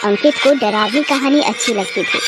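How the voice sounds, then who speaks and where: A woman's voice: fairly high pitch, moderate pace. A woman storyteller reading a scripted horror bedtime story. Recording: clear background ambience noise.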